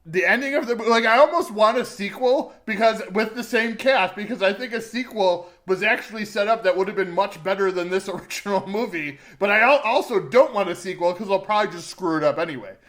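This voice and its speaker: Higher-voiced man chatting about movies. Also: a little fast, hardly any pauses, fairly bright tone. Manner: conversational, informal, opinionated. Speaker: movie podcast co-host. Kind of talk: casual film review chat.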